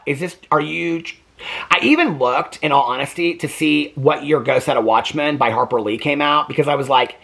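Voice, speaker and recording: A man's voice: mid-range voice, a bit bright, slightly rough. A man giving a casual, candid book review to camera, opinionated and often circling back over his points.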